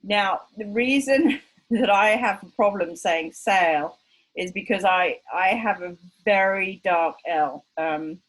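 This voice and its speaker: A woman's voice: medium pitch, slow, low-quality recording. A woman with an Estuary English accent from England giving an online linguistics lecture in a chatty, self-deprecating way, with many fillers. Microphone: video call.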